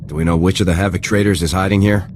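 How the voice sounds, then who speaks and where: An actor plays a confident, dutiful soldier hero in a game cutscene. His voice is very low and his delivery is fast and clipped. Clear background ambience surrounds him. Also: fairly even intonation, slight background noise, lightly acted. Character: dutiful, confident young male army lieutenant hero. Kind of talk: video game cutscene acting.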